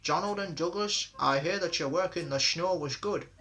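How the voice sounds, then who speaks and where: A man's voice: a little high, pushed and bright, soft background music. Man playing a pompous stern railway boss. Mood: stern official. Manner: measured, impressive pauses.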